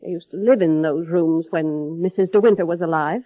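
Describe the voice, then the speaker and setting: An actress playing a cold, formal middle-aged housekeeper in 1930s radio drama, measured and quietly menacing. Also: female voice, on the low side, dry recording, natural voice. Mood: quietly ominous. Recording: dull, muffled vintage radio broadcast, music.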